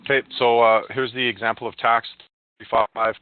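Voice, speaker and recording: A man giving an informal, step-by-step software walkthrough on an online web conference. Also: medium pitch, level tone, fairly quick, smooth. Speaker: software demonstrator. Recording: dull, muffled online webinar audio.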